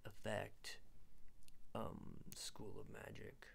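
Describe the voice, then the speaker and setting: A man doing an ASMR roleplay as a hesitant magic ring salesman, speaking in a breathy, creaky half-whisper and often repeating himself. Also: fairly low pitch, little pitch movement, very slow, fairly bright tone, a little hiss or hum. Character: shifty, hesitant seller of magic rings. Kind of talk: ASMR roleplay, soft improvised salesman patter.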